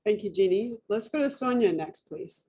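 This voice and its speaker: A woman's voice: mid-range voice, animated, normal speed, mellow, husky. A woman moderating a panel, thanking speakers and handing over with brief polite remarks.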